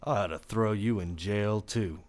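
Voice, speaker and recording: A man acts a stern lawman in an old-style western radio drama. His American voice is on the low side. He speaks tersely and dryly, with weary authority. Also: measured pace, lightly acted. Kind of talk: old-time western radio play acting.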